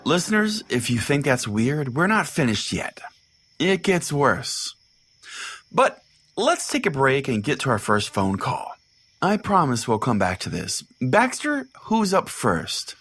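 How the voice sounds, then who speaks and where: A man's voice: mid-range voice, wildly swooping pitch, unhurried, a bit bright, husky, full character voice. A man playing an earnest, smug pastor who hosts a comedic radio show, with a theatrical matter-of-fact delivery. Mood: excited. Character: earnest, easily scandalized male pastor hosting a radio show. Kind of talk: comedic mock talk radio hosting.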